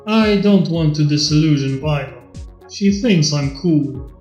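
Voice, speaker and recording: A man voicing a cool, world-weary robot rebel with a guarded, measured manner in a fan fiction reading. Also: on the high side, darkish tone, roomy sound, muffled recording, soft background music, close to an everyday voice.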